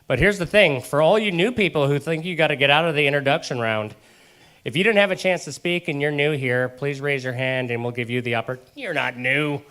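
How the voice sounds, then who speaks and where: A man's voice: a little high, a little fast, fairly bright tone. A man hosting a casual group meeting, joking and upbeat, speaking into a handheld mic in a meeting room. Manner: upbeat, joking, repeats "all righty". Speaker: meeting host. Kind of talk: casual emcee chat.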